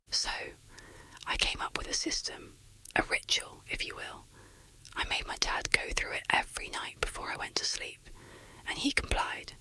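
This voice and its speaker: An ASMR storyteller of unclear sex narrating a first-person horror story in a breathy, unvoiced whisper, measured and deliberate. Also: very high-pitched, bright, forceful tone, low-quality recording.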